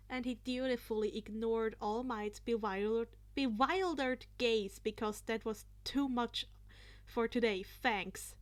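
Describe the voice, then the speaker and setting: A woman narrating fan fiction aloud in an expressive, playful amateur style, now and then stumbling over a word. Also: a little high. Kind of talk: expressive amateur story reading.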